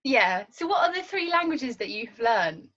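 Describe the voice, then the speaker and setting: A woman's voice: a little high, very animated, moderate pace, fairly bright tone. Playful woman hosting a podcast. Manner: playful, teasing, laughs easily. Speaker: podcast host. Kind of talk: casual chat. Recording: dull lo-fi sound.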